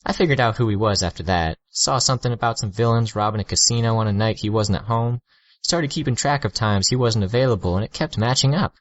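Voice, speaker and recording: A man's voice: on the low side, fast talker, no room sound, lo-fi sound, voice pushed up, lightly acted. A man reading an audiobook, voicing a sassy, mocking teenage girl with a blunt, drawling manner. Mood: teasing.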